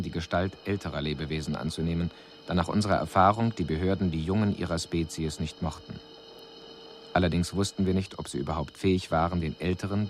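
An actor playing a stern alien investigator who delivers a formal, analytical report with emphasis. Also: male voice, low-pitched, a little hiss or hum. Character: stern alien investigator summarizing findings on humans. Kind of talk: formal scripted report in radio drama.

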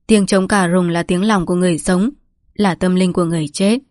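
A woman's voice: lowish voice, fairly even intonation, a little fast, darkish tone, no echo, natural voice. Storybook role: frail, dying old family patriarch read by a woman, rambling, bitter and mournful, very dry close sound. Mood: solemn, wistful. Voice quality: weak, breathy, trembling delivery. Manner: lamenting, rambling, scolding.

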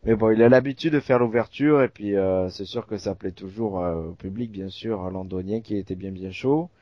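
A man's voice: lowish voice, normal speed, dry recording, dull audio. Chatty southwestern French man hosting. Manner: chatty, full of "euh" fillers, animated. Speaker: podcast host. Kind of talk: casual podcast chat.

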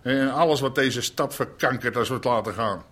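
Husky, blunt crime boss. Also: male voice, medium pitch, normal speed, a bit bright. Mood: blunt, self-assured. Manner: plain-spoken, folksy, emphatic. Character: blunt old-school Amsterdam underworld nightlife boss, self-made man. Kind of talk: naturalistic audio drama acting.

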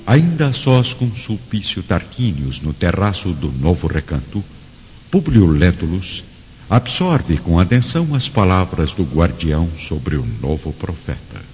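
A man's voice: low voice, very animated, unhurried, a little mellow, a little hiss or hum. A man narrating a radio drama from a script in a solemn, theatrical manner. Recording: dull audio with long reverb and music.

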